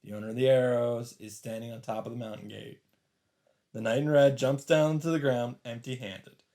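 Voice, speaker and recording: A man's voice: mid-range voice, steady tone, normal speed, dark tone. A man hosting a let's play, reading visual novel narration aloud with brief asides. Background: game music.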